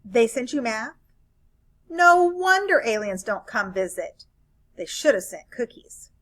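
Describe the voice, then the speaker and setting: A woman's voice: a little high, sing-song, measured pace, fairly bright tone, full character voice. A woman reading a children's picture book aloud as a grumpy, bored, reluctant narrator who complains expressively. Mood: skeptical.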